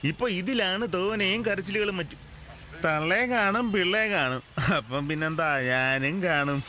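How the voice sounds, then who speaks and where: Talkative male character, radio drama, Malayali accent, high-pitched, bright, conversational. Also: moderate pace, slight background noise. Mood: wry, chatty. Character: chatty man explaining his TV viewing habits.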